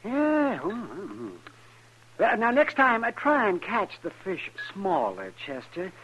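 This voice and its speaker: An actor playing a wry, folksy older town doctor in a 1950s radio western, muttering and teasing. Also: male voice, sits high, wildly swooping pitch, slow pace, a bit bright, husky, highs cut off, exaggerated voice. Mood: wry, grumbling. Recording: 1950s radio drama recording.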